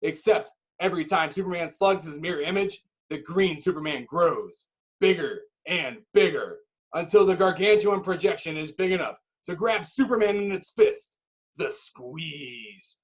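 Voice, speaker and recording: A man narrates an action script dramatically. His voice sits slightly high, and he breaks the directions into punchy, clipped phrases with pauses. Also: expressive. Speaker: narrator reading stage directions.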